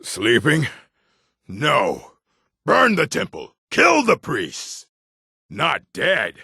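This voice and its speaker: Raging, rough-voiced orc berserker. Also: male voice, a little high, no room sound, clean studio sound, full character voice. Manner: barking, shouted fragments. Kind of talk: fantasy video game battle barks.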